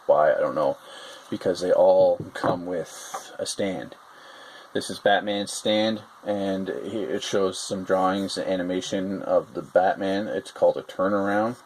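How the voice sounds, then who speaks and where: A man with a very low voice talks casually through a toy review, rambling and hesitating, stopping often on fillers. The room has a faint steady hiss underneath him. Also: a little slow, low-quality recording.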